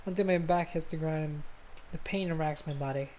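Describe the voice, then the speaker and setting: A woman's voice: deep voice, moderate pace, heavy background noise. A woman livestreaming a game, reading story narration aloud and breaking off into informal asides, sometimes stumbling over words. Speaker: game streamer. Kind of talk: livestream let's play, reading visual novel narration aloud with casual asides. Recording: loud music under the voice. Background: loud game music.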